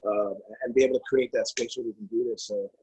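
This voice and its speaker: A young man from New Jersey in his twenties talks quickly and casually. His pitch stays flat and even throughout. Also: slightly rough, low-quality recording. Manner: casual, relaxed. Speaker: football player.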